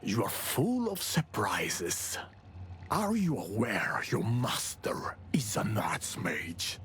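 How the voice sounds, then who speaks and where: A bored, haughty immortal from a video game, drawls with mocking condescension. The voice is slow and creaky, swooping dramatically up and down. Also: mid-range voice, fairly bright tone, a little hiss or hum, full character voice. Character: bored ageless immortal, sardonic, haughty and theatrical. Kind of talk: video game villain acting.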